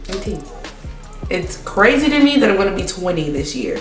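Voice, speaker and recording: A woman's voice: medium pitch, sing-song, a little fast. A nineteen-year-old woman, chatting casually and rambling through a Q&A vlog. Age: teens. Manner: chatty, rambling, repeats herself. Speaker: vlogger. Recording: roomy, distant camera sound with faint music.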